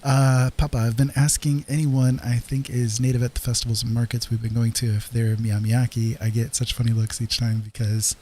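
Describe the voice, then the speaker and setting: This is an American man with an average-pitched voice hosting a casual livestream. He speaks in a friendly, rambling manner, addressing his viewers directly. His delivery is informal and reassuring. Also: flat delivery, fairly quick, few pauses, dark tone, a little hiss or hum. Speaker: live streamer host.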